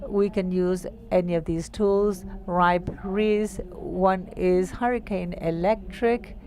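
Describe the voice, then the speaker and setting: A woman giving a technical presentation to network operators, speaking in a measured, explanatory way with pauses between phrases. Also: on the low side, fairly even intonation, a little mellow, a little hiss or hum. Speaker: conference presenter.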